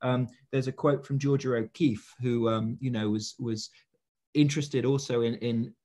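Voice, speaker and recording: A man in his thirties talks over a video call from his living room. He speaks in a measured, musing way, with fillers as he thinks aloud. The tone is that of a literary conversation. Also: medium pitch, fairly even intonation, mellow. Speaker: author in panel conversation.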